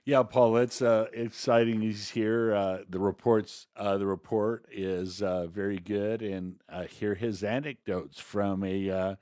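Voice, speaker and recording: A man co-hosting a podcast, giving casual commentary in an informal, halting conversational manner. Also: on the low side, fairly even intonation, a little mellow, no room sound, studio quality. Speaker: podcast co-host.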